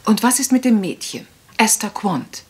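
Animated, direct lady investigator played by a woman. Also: medium pitch, normal speed, close to an everyday voice. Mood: polite, attentive. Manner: polite but pointed questioning. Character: composed, direct female detective's assistant. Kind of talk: German radio crime drama acting.

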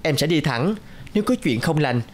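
Young fairy-tale prince for animation, determined and sincere. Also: male voice, on the high side, lively intonation, normal speed.

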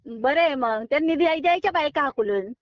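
A high-voiced woman argues on a phone call. She is firm and exasperated in an unscripted exchange. The audio is close and dry, slightly dull and lo-fi. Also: lively intonation, fast, no echo. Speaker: online recipe video creator receiving a prank call.

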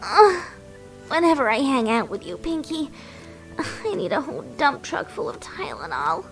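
A high, extremely animated young female cartoon pony performed by a woman. She sounds studious, earnest and easily exasperated in a comedic dub, over clear music. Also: normal speed, distant mic, full character voice, low-quality recording.

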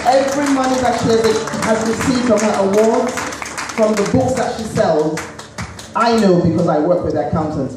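A woman giving a formal introduction speech at a conference, speaking with deliberate, solemn emphasis. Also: on the low side. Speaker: event presenter introducing the keynote speaker. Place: on stage in a conference hall. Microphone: handheld PA mic, picked up by distant audience camera. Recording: echoey hall from far off, background noise.

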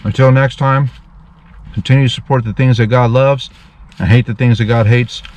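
A man's voice: medium pitch, steady tone, darkish tone. A man in his thirties, a street preacher, talking earnestly and a bit formally to a camera from his parked car. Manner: slow, earnest, pious, slightly formal. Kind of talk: casual vlog with preaching asides. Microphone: dash camera in front of him.

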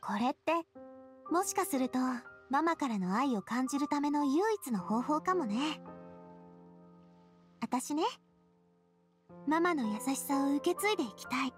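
A spirited young lady from an anime game, with a high voice. She talks casually and expressively, breezy yet earnest and kindhearted. Music runs behind her. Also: unhurried, pauses often. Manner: casual, feminine, expressive storytelling. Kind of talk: Japanese anime game voice acting.

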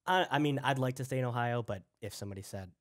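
A man's voice: medium pitch, fast talker, no echo, studio quality. A young man chatting casually as a college student guest on a podcast. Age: young adult. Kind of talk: casual chat. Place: at a dining table in a home. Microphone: headset.

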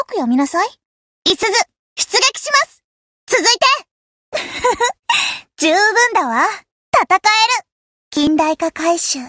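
A woman voicing a proud, confident young warship girl in an anime game, with a brisk, haughty manner. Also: very high voice, a bit bright, dry recording, clean studio sound, full character voice. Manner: brisk, self-assured, haughty. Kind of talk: anime game character voice lines.

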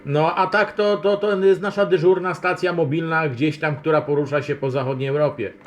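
A man's voice: a little high, steady tone, normal speed. A man making casual radio contact as an amateur operator, talking in a rambling, friendly way.

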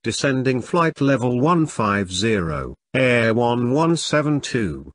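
A man reading scripted pilot radio calls in a measured, formulaic way for an English lesson. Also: medium pitch, very animated, a bit bright, dry recording, studio quality. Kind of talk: scripted radio phraseology readback.

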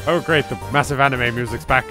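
A man's voice: medium pitch, steady tone, fairly quick. A British man giving playful gameplay commentary with bemused, exclamatory reactions. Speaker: let's play commentator. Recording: music behind the voice. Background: game music.

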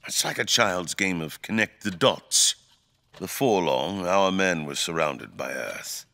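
Man acting a grave, deep-voiced fantasy king. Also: lively intonation, gravelly, pushed and bright, heavily acted. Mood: grave, scornful. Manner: theatrical, deliberate, formal diction. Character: grave, imperious fantasy king, eloquent and brooding. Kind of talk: dramatic fantasy audio drama acting.

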